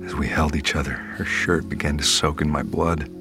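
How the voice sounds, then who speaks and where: Very low somber male narrator, grave measured storytelling, music underneath, audio drama. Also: little pitch movement. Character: wounded man named Dave, somber first-person narrator.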